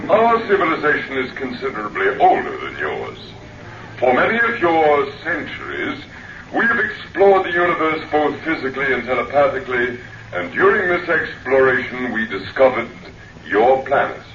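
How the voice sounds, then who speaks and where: An actor playing a dignified, elderly-looking alien lecturer in human guise in a radio drama, formal, deliberate and oratorical. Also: male voice, medium pitch, sing-song, fairly bright tone, lo-fi sound. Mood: grave. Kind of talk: old radio drama speech. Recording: echoey, distant, noisy vintage radio recording.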